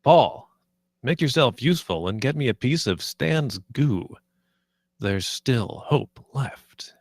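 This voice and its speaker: A man's voice: mid-range voice, lively intonation, slow pace, dry recording, studio quality. A man playing a blunt, irritable spaceship captain in a sci-fi comedy table read. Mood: irritated, commanding.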